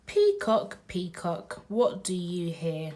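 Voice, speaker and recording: Very slow, sing-song woman reading aloud. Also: lowish voice, wildly swooping pitch, mellow. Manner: sing-song, drawn-out delivery for small children. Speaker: children's read-aloud host. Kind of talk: expressive picture-book read-aloud.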